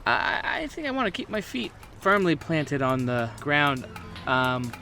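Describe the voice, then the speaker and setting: A man's voice: a little high, sing-song, a little slow, bright, forceful tone, pitched above the performer's own voice, heavily acted. A man voicing a nervous, wary woman in a tabletop game, hesitant and colloquial. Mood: nervous, reluctant. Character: nervous, wary woman reluctant to join danger. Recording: music behind the voice.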